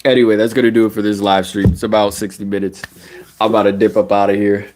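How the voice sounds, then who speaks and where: A man's voice: lowish voice, fast. Stuffy-nosed man ranting about basketball. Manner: animated ranting, casual slang. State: stuffy, nasal from a cold. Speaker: sports streamer. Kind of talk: live stream basketball commentary.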